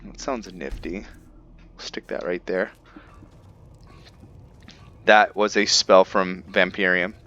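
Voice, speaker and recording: A man's voice: deep voice, fairly even intonation, slow, pauses often, bright, forceful tone, muffled recording. A man giving casual roleplay gameplay commentary, thinking aloud and searching for his words. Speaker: gaming video host.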